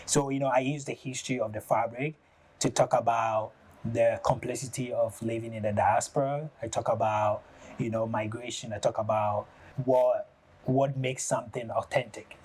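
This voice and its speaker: A man with a Ghanaian accent, an artist talking through his work in a thoughtful, halting way, often pausing on "you know.". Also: mid-range voice, fairly even intonation, darkish tone, slightly rough. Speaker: ceramic artist. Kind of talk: reflective studio talk.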